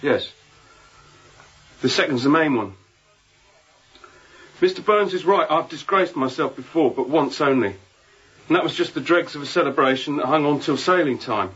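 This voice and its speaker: A repentant sailor in a radio play, with a high, husky voice. He rambles earnestly and humbly, like a shaken crewman pleading his case. The recording is a little dull. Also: lively intonation, normal speed, long pauses, fairly bright tone. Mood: pleading, contrite. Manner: earnest rambling working-sailor speech, deferential. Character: contrite, frightened sailor pleading after a drunken night. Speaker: ship's crewman. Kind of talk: radio drama acting.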